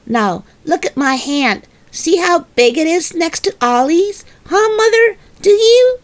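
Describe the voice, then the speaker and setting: A woman's voice: very high-pitched, animated, a little slow, pitched above the performer's own voice. A woman voicing a stubborn, dramatic little girl in a children's storybook, huffy and boastful, with childish, emphatic protests. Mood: insistent.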